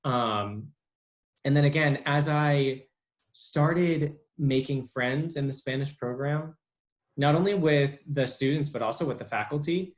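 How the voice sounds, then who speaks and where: A young adult man as an interview guest, talking casually with many pauses and restarts. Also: medium pitch. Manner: halting, lots of ums and restarts. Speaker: interview guest, bilingual speech therapist.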